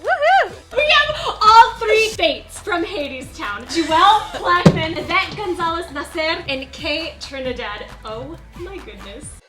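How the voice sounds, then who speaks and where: A woman hosting a cooking show, excitable and bubbly, often squealing and laughing. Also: extremely high pitch, slow, echoey room, over music. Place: home-style kitchen set.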